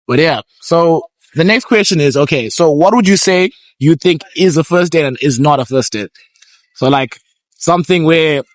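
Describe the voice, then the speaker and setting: Man host, street interviews, chatty, laughs a lot, fairly high voice, dry sound. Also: no room sound. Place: outdoors on city streets.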